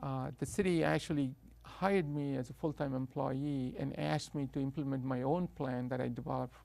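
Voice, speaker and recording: Indian man with a gravelly, hesitant voice. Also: mid-range voice, fairly even intonation, moderate pace, a little mellow, no echo. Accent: South Indian. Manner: hesitant, many uh fillers and restarts. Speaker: guest. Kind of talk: interview, telling his life story.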